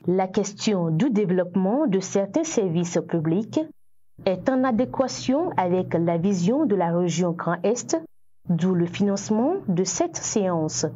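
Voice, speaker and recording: A woman's voice: mid-range voice, expressive, a little slow, mellow, breathy edge, muffled recording. A woman news reporter reads a scripted voice-over.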